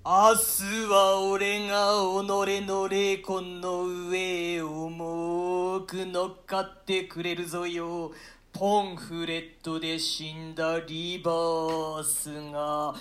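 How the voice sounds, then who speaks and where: A woman voicing the ghost of a murdered nobleman in a radio play reading, in a slow, flat delivery. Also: fairly low pitch. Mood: cursing.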